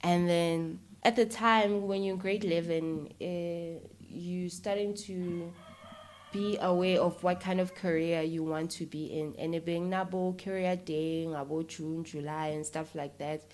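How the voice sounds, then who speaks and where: A woman with a South African accent chatting casually and telling stories as an interview guest, in an informal, animated manner. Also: lowish voice, a little slow. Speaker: interview guest, actress and filmmaker. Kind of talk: casual conversational storytelling.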